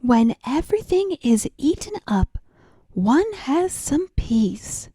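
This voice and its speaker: A woman's voice: sits high, sing-song, takes it slowly, dark tone, no echo, heavily acted. A woman voicing a sly, greedy female cat in a storybook, with a smug, coaxing manner.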